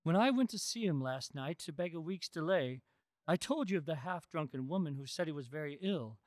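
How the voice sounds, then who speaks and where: Casting: male actor as earnest young-hearted husband in debt, radio play, lightish high voice, dry recording. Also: animated, a little fast, no echo, close to an everyday voice. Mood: earnest relief. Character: earnest, relieved indebted husband. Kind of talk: radio drama acting.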